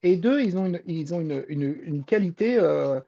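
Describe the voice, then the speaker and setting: A woman's voice: fairly low pitch, expressive, moderate pace, mellow, muffled recording. A woman explaining things as a panelist in an informal discussion, wordy and often searching for her words. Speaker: podcast panelist and reporter on Japanese pop culture.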